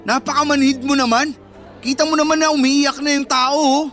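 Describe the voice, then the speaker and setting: An agitated young Filipino boyfriend in radio melodrama. His voice is strikingly high, pleading and indignant, with faint music underneath. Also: steady tone, moderate pace, fairly bright tone. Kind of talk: Filipino radio drama acting.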